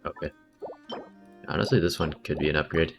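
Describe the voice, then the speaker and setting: A man's voice: rumbling low, a little fast, gravelly. A man chatting casually on a game livestream in a laid-back, slangy way, muttering asides. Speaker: game streamer. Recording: background game music. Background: video game music.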